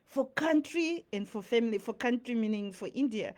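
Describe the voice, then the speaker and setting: A woman's voice: a little high, lively intonation, moderate pace, a little mellow. A young woman from Zimbabwe talking casually to camera in a rambling, chatty way, full of fillers and asides. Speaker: vlogger. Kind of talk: casual talking-head monologue.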